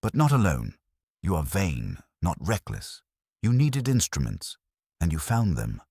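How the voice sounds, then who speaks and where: A man's voice: deep voice, wildly swooping pitch, a little slow, creaky, a little mellow, husky, no echo, clean studio sound, heavily acted. A man voicing a stern, imperious detective genius in an audiobook, with a pompous, formal and accusatory way of speaking. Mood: accusing, commanding.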